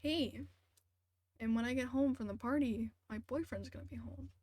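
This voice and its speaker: A woman's voice: fairly high pitch, normal speed, darkish tone, no room sound. A young woman streaming a game, chatting casually in a blunt, sweary, complaining way. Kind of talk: casual livestream chat and game commentary. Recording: music behind the voice. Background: game music.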